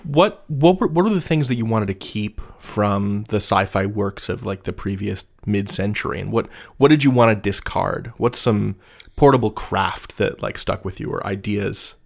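A man's voice: fairly low pitch, moderate pace, gravelly. A man conducting a conversational podcast interview, asking questions as the interviewer.

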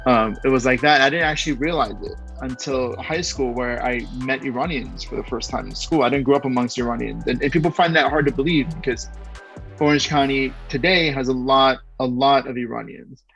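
A man's voice: mid-range voice, fairly even intonation, a little fast, gravelly, fairly bright tone, muffled recording, soft background music. A man in his forties, raised in Southern California, who spoke Persian first, talking as a historian guest in a loose interview and thinking aloud with frequent restarts. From born in Iran, raised in Southern California. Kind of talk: casual interview talk.

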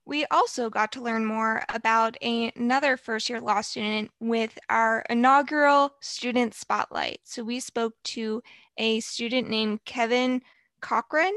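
Bright cheerful young woman co-host. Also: on the high side, fairly even intonation, measured pace, no room sound. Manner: cheerful, warm. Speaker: podcast co-host.

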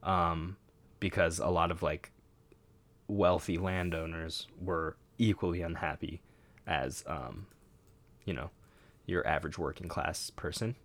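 A man with a fairly low voice co-hosts a relaxed podcast. He reads passages aloud from a web page and keeps breaking off for wry asides. The sound is close and dry, with no room around it. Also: measured pace, pauses often, no room sound. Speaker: podcast co-host. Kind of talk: casual chat, reading aloud from a web page.

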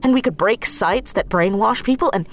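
A woman's voice: medium pitch, normal speed, natural voice. A woman acting a confident, playful and driven young hacker in a naturalistic audio drama. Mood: excited, confident.

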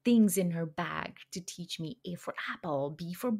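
A woman's voice: on the low side, expressive, moderate pace, gravelly, darkish tone. A young woman from India with an Indian accent, a guest on a podcast, talking casually with many fillers and frequent laughter. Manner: chatty, laughs often, many fillers and restarts. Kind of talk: casual conversation.

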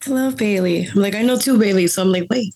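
A young woman from the Bronx, a coffee grader, chatting as a guest in a blunt, profane, animated way and laughing often. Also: mid-range voice, fast. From Bronx, New York. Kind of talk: casual chat.

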